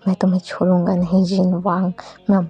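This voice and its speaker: A woman's voice: on the low side, completely flat delivery, brisk, warm tone, slightly rough, faint music behind. A woman voicing an anguished, protective male ghost lover in a told story, emotional and repeating phrases. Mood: vengeful rage. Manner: pleading, repetitive, emotional.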